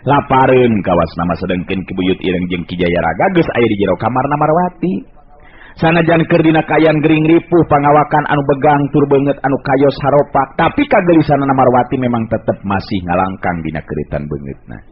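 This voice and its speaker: A man's voice: medium pitch, fairly quick. A man with a Sundanese accent narrating a folk tale dramatically, as a radio storyteller. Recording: narrow, hissy old radio recording.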